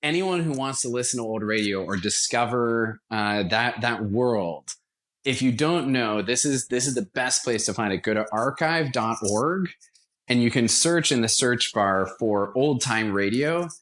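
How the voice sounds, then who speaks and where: A man's voice: mid-range voice, animated, measured pace, a bit bright. A man speaking as an interview guest, explaining his creative work as a radio theatre director in an enthusiastic, conversational way.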